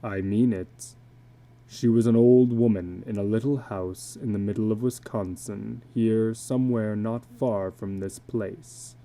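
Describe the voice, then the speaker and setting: A man voicing a weary, bitter older tattooed carnival man in a slow, grave, brooding dramatic reading of a story. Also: lowish voice, steady tone, dark tone. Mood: haunted.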